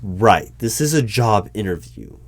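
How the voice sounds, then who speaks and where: A man with a very low voice plays a hiring manager in an ASMR interview roleplay. He speaks slowly and formally, in a dry, halting way. Keyboard typing and noticeable noise sit behind him. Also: big pitch swings. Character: hesitant, politely bureaucratic male hiring manager of secret bureau. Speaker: roleplay performer. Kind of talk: one-sided ASMR roleplay as a job interviewer. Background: computer keyboard typing.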